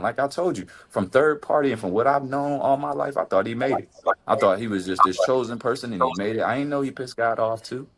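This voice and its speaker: A man co-hosting a podcast, talking in an impassioned, casual way and firing off rhetorical questions. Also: breathy edge. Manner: impassioned, rhetorical questions, swings to high exclamations. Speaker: podcast co-host. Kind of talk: casual opinion talk.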